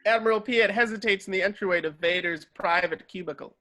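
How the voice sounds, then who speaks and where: Higher-pitched man narrating a script. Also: brisk, sharp tone. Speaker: narrator reading stage directions.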